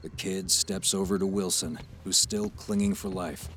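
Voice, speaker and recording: A man's voice: deep voice, little pitch movement, moderate pace, music in the background. A man reading scripted stage directions as the narrator of an audio drama.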